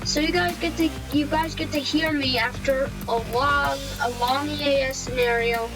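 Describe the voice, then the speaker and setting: A woman speaking informally to viewers as a video creator, earnest and halting, often repeating her words. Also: sits high, fairly even intonation, music in the background, low-quality recording. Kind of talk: informal thank-you address to viewers.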